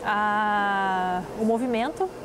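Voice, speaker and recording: A woman's voice: medium pitch, slow, background noise. A woman giving an informative interview answer, using fillers as she explains.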